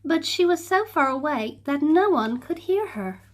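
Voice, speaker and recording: Playful children's story narrator, unhurried, lots of lilt. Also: female voice, high voice. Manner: animated, slow and playful. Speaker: storybook reader.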